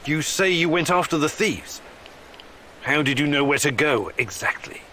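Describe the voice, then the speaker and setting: Wary, sharp-voiced game hero. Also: male voice, a little high, animated, normal speed, slight background noise. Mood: skeptical. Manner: skeptical, questioning. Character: wary, probing male scientist hero, suspicious and steady. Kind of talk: video game character acting.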